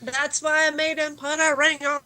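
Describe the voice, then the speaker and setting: Lady chatting casually, high pitched bright voice, improv performer. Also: flat delivery, normal speed. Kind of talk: casual chat.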